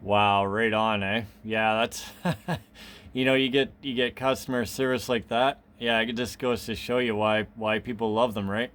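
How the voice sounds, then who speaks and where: A man's voice: lowish voice, steady tone, unhurried, fairly bright tone. A man chatting casually as a radio hobbyist, easygoing and rambling in his delivery. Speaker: amateur radio operator.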